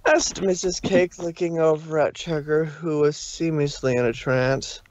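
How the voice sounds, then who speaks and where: Male narrator, halting read-aloud, lo-fi stream sound. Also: a little high, steady tone. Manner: halting, with slips and self-corrections. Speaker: narrator reader.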